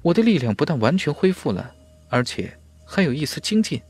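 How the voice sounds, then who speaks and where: A man's voice: on the high side, sing-song, a little fast, darkish tone, breathy edge, voice pushed up, heavily acted. A man voicing a determined young cultivator hero in an audiobook, musing with self-possession. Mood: puzzled musing. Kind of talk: audiobook character voice.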